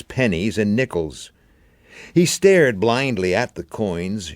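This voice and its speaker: A man reading literary fiction in a deliberate, expressive, dramatic narration style. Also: on the low side, no echo, studio quality. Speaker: story reader.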